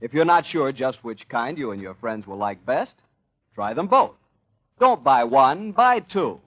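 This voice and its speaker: A man working as a 1940s radio announcer, delivering a folksy, emphatic sponsor pitch and scripted chat as host. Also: very animated, measured pace, no room sound. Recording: muffled vintage radio recording.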